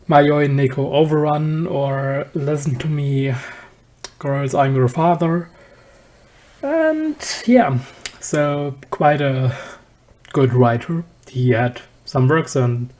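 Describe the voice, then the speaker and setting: A reviewer talks casually through an anime episode at a slow pace. The voice is dark and mellow, and the delivery is halting, with slightly non-native phrasing. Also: medium pitch.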